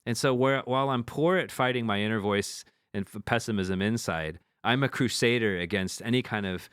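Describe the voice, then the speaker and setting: Deep-voiced man chatting frankly. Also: a little fast, fairly bright tone, clean tone, no echo, studio quality. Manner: frank, swears casually, restarts a lot. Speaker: podcast co-host. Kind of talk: casual podcast chat.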